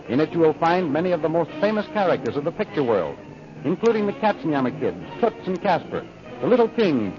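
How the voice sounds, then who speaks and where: A man narrating and announcing in dramatic, declamatory 1930s radio serial style, reading from a script. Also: fairly high pitch. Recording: muffled old radio recording, music behind. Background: music.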